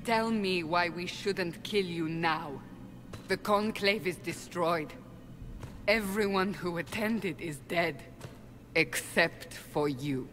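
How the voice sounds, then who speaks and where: A woman's voice: on the low side, takes it slowly, fairly bright tone. A woman playing a stern, hostile warrior interrogator in a fantasy game, blunt, commanding and accusatory. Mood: cold threat. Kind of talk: dramatic fantasy game acting. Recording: echoing hall, game music underneath.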